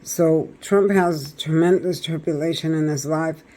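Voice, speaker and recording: A man with a raspy voice doing a chatty tarot reading, talking straight to his viewers. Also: a little high, steady tone, a little slow, mellow. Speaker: tarot reader.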